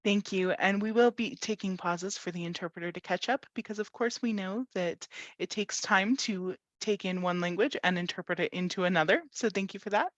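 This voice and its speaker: A woman moderating a panel discussion, polite and clear as she puts questions to panelists. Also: mid-range voice, fairly quick, dry recording, highs cut off.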